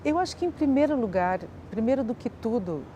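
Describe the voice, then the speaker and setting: A woman's voice: on the high side, expressive, fairly quick, background noise. A woman giving an informal interview, rephrasing as she thinks.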